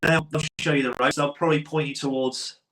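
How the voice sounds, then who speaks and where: Chatty male podcast host, relaxed and rambling, recorded with a roomy echo. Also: a little high, brisk, a little hiss or hum. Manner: chatty, loose, laughs often. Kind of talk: casual podcast chat.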